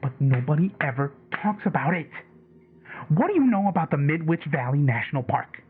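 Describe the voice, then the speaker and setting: A man's voice: fairly high pitch, very animated, a little fast, breathy edge, full character voice. An actor playing an agitated, obsessive conspiracy caller in a radio drama, stammering, repeating himself and swearing as he rambles. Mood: insistent, probing. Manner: stammering, repetitive, profane rambling. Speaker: caller on a late-night radio show. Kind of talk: audio drama acted as casual talk radio. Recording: tinny phone-like line with background music.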